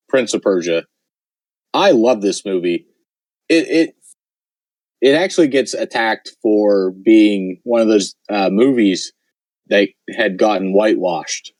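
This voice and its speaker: Man, podcast co-host, lowish voice, hoarse and fading, rambling, dry close sound. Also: a little mellow, no echo. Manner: rambling, lots of fillers. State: losing his voice. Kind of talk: casual chat.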